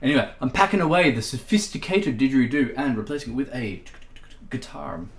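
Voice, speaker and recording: A man's voice: medium pitch, expressive, brisk. A man hosting a casual livestream, chatting in a rambling, self-deprecating way with plenty of fillers. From Australia. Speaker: livestream host. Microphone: streaming microphone. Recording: echoey room.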